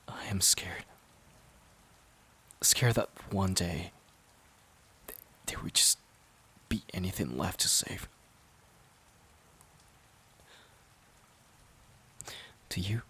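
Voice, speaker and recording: A man playing a worn-out, resentful boyfriend in an audio drama, pleading in a halting, strained way. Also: low-pitched, a bit bright. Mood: scared, weary. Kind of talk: dramatic audio roleplay monologue.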